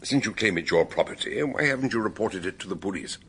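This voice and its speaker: An actor playing a shrewd gentleman investigator in a radio drama, deliberate, wry and probing in manner. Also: on the low side, animated, slight background noise. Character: shrewd, mannered gentleman sleuth questioning suspects.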